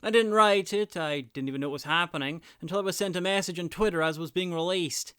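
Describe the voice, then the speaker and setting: Smooth, highish-voiced male review narrator. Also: fast talker, fairly bright tone, no echo. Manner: dry, wry asides. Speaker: video reviewer, host. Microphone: close, dry voice-over mic.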